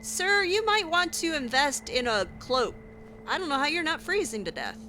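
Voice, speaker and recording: A woman's voice: high voice, sing-song, moderate pace, sharp tone, a little hiss or hum. A woman doing in-character improvised narration of a video game, musing aloud to herself as she goes. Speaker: let's play host roleplaying her character.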